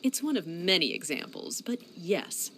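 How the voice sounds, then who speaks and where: Wise grave fantasy mentor woman, lowish voice, animated, music. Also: moderate pace, a little hiss or hum, rough recording. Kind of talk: scripted video game cutscene acting.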